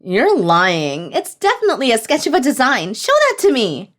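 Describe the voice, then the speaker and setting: A woman's voice: a little high, huge pitch swings, moderate pace, a bit bright. A woman voicing a condescending, pushy young female designer, a spoiled and scheming office bully in a manga dub. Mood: bossy. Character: haughty, bossy, scheming female designer, spoiled banker's daughter.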